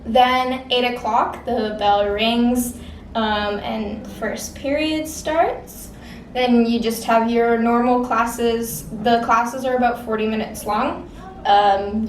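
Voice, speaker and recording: A woman's voice: a little high, distant mic, slight background noise. Teenage girl, echoey and off-mic. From Czech Republic. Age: teens. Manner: casual, many fillers like "like" and "um". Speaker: exchange student. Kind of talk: interview answers.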